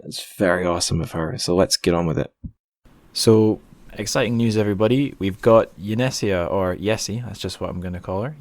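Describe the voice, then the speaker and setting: A man's voice: sits low, darkish tone, no echo. A man hosting a casual podcast interview, speaking in an awkward, hesitant, self-deprecating way. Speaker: podcast host.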